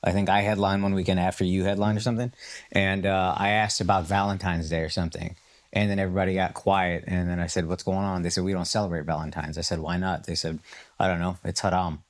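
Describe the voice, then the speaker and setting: A man's voice: deep voice, little pitch movement, fast. A man in casual chat, telling anecdotes as a podcast host with a teasing manner.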